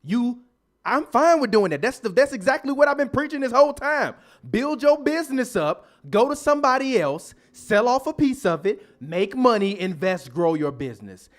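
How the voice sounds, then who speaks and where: Podcast co-host, 30ish, high voice, profane repetitive ranter. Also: male voice, big pitch swings, moderate pace. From United States. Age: 30s. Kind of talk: heated podcast debate.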